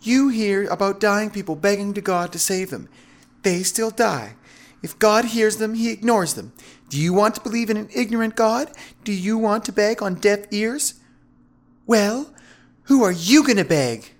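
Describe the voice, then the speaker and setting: Man putting on a high-pitched seductive female fanatic. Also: expressive, moderate pace. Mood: menacing.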